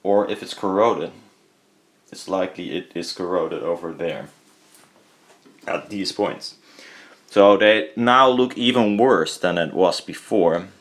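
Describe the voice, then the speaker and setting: Low-pitched Dutch-accented man, rambling. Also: a bit bright. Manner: hesitant, rambling, self-correcting. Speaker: hobbyist presenter. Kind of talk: casual hands-on product teardown commentary.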